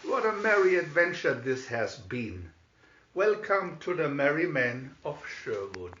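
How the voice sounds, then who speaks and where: A merry, bold outlaw leader from a storybook, acted out by a man, hearty and declamatory. The pitch rides high and swings widely, in a dull, roomy recording. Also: big pitch swings, a little slow, fairly bright tone. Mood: merry welcome.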